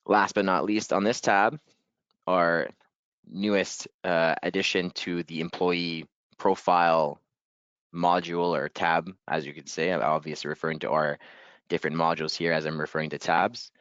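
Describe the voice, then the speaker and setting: A man leading a software training webinar in a casual, upbeat way, a little hoarse and clearing his throat. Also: sits low, little pitch movement, moderate pace, no echo, highs cut off. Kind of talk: webinar screen-share walkthrough.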